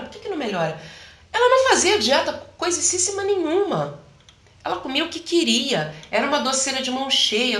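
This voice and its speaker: A woman in an interview who explains how the body works in an animated, talkative way, slipping into little acted-out quotes. Her voice sits a bit high and sounds bright. The room adds a clear echo. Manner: animated, acts out quoted voices, laughs often. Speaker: health practitioner guest.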